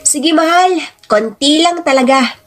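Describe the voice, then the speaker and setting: An affectionate husband acted out by a woman narrator talks in a high, coaxing voice. He is easygoing and sweet-talking, in the manner of a radio drama, with music behind. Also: a little slow, a bit bright. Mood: wheedling, affectionate.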